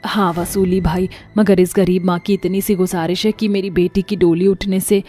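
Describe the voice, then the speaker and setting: A woman's voice: fairly low pitch, flat delivery, normal speed, dark tone, natural voice. A woman playing a poor, humble widowed mother who pleads emotionally in a storybook drama. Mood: humble pleading. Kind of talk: audio storybook drama acting. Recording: music under the voice.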